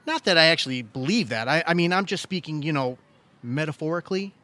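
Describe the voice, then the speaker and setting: A man playing an earnest, hesitant, credulous brother in a comedic audio play, tentative but easily excited. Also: fairly high pitch, fast talker. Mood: musing, sheepish. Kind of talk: comedic audio theatre acting.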